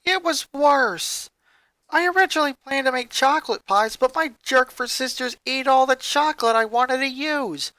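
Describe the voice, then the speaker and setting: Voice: high voice, moderate pace, sharp tone, no room sound, pitched above the performer's own voice. A story reader voicing a hurt preteen boy who confides his grievances in a sullen way. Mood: bitter griping.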